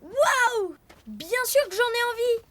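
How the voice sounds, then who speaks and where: A woman voicing a young animal character in a children's cartoon, eager and excitable. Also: very high voice, slow pace, heavily acted. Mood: excited. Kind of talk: cartoon voice acting.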